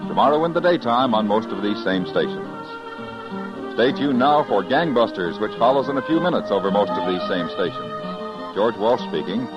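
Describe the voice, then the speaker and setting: A man's voice: lowish voice, animated, moderate pace. An American man reading scripted credits and promos as a 1950s radio announcer. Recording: dull vintage radio broadcast recording. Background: faint music.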